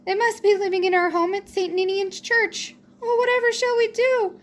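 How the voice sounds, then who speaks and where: A woman's voice: very high voice, steady tone, normal speed, fairly bright tone, pitched above the performer's own voice. A woman voicing a distraught, fretful motherly church mouse in a storybook reading. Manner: fretful, wailing, old-fashioned motherly phrasing.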